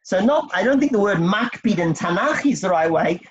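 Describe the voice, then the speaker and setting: A man's voice: high voice, animated, brisk, a little hiss or hum. A man teaching a text study class, explaining step by step and quizzing his students. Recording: dull lo-fi recording.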